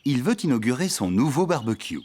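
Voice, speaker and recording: A man's voice: medium pitch, big pitch swings, brisk, over music. A man narrating a children's cartoon in a scripted storytelling delivery.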